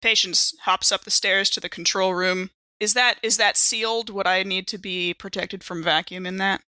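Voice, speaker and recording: Bright-voiced woman in a dry close recording. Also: mid-range voice, fairly even intonation, moderate pace, no room sound, rough recording. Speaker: player in a tabletop role-playing game. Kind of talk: casual tabletop game chat.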